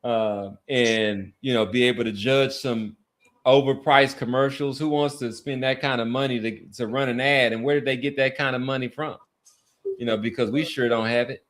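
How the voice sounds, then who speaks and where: A man hosts a casual sports podcast in a medium-pitched voice. He speaks earnestly in long, halting stretches, often repeating words as he builds his point. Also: steady tone, fairly bright tone. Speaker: podcast host. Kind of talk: casual sports talk and commentary.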